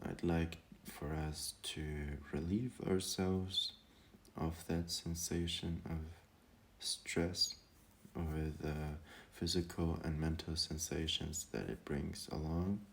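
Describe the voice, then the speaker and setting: A man guiding a meditation in a calm, gentle manner, speaking hesitantly with long pauses. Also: deep voice. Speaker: meditation guide. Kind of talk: guided meditation talk.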